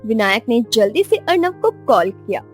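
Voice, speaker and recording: A woman's voice: a little high, big pitch swings, moderate pace. A woman narrating a scripted romance story in a dramatic style. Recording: music under the voice.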